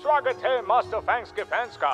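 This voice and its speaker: High-voiced showy TV star. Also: male voice, expressive, brisk, fairly bright tone. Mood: showy, grateful. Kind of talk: animated children's cartoon dub. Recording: dull sound, faint music behind.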